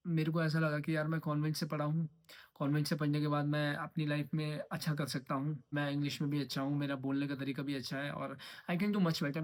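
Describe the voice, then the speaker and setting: A young man in his twenties from Uttarakhand, talking casually to camera in a rambling vlog and slipping English phrases in. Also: on the high side. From Uttarakhand, India. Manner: rambling, mixes English phrases, repeats himself. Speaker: trading vlogger.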